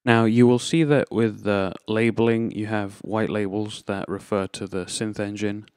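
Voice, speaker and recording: A man's voice: fairly low pitch, steady tone, normal speed, a little mellow, clear, resonant tone, dry recording, clean studio sound. A man giving an informal, unscripted tutorial, explaining things step by step as a presenter. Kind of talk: informal instructional demonstration.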